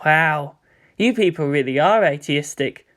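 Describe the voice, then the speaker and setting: Teenage boy student read by a man, high-pitched, curious, polite, frank, storybook dialogue. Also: expressive, unhurried, a bit bright. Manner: questioning, frank.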